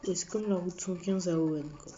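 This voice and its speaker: Woman, lowish voice, rambling gameplay commentary, mumbled asides, dull lo-fi sound, loud game music behind. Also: brisk. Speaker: let's play gamer. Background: video game music.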